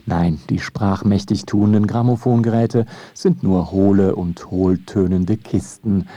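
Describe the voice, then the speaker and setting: A man's voice: deep voice, warm tone, slightly rough, no echo. A man reading scripted documentary narration for a radio feature in a wry, ironic manner. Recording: music behind the voice. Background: music.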